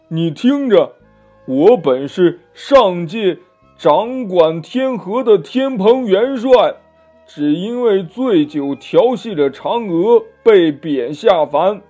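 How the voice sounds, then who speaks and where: 男人扮演的高嗓门猪妖猪八戒. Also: male voice, high voice, warm tone, pitched above the performer's own voice, heavily acted. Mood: proud, sneering. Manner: stammering, blustering, sneering chuckles. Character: boastful, cowardly male pig demon, former heavenly marshal. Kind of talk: children's storybook character voice. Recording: muffled lo-fi audio with music behind.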